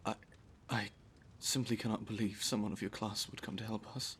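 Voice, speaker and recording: A humble, grateful villager, acted by a man, addresses royalty in a fairly low voice. His delivery is formal, earnest and deferential, in the manner of fantasy audio drama, with a faint rumble underneath. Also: fairly even intonation, normal speed, a bit bright.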